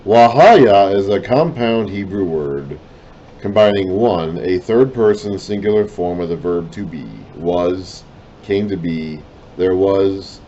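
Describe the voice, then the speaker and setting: Man, Bible teacher, low voice, deliberate pace, trailing phrase ends, faint background hiss. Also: mellow, lo-fi sound. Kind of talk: reading and explaining a lesson.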